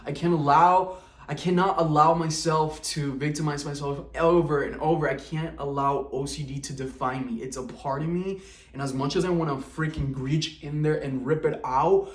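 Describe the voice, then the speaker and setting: A man in his twenties talking candidly and haltingly in a confessional vlog, restarting often. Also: fairly high pitch, steady tone, distant mic. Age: 20s. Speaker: vlogger. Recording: roomy, from the mic.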